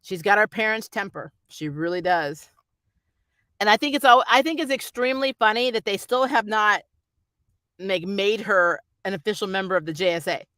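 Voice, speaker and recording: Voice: mid-range voice, normal speed, bright, forceful tone, no room sound. A vlogger chatting off the cuff in a rambling, opinionated way, like a fan giving a review. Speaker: YouTube reviewer reading and answering viewer comments.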